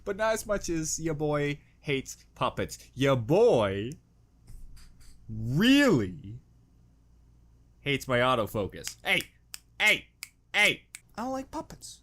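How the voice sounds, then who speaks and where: A man's voice: fairly high pitch, wildly swooping pitch, slow, long pauses, a bit bright. A man hosting a reaction video with casual, joking, exaggerated and profane commentary.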